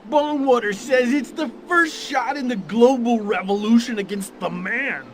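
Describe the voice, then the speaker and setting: A man voicing a nervous but mellow, gossipy hippie stoner informant in a video game, with a slangy drawl. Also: extremely high pitch, a little slow, slight background noise. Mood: laid-back, gossipy. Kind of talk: video game cutscene voice acting.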